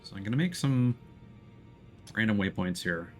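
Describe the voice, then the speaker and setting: A man's voice: on the low side, lively intonation, moderate pace, faint music behind. A man giving casual live gameplay commentary as a streamer, explaining things and thinking aloud. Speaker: game streamer.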